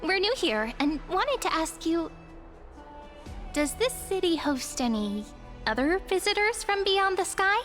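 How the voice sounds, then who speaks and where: A woman playing a sweet, curious young adventurer in a video game, gentle, polite and thoughtful. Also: very high-pitched, sing-song, a little slow, faint music behind, heavily acted.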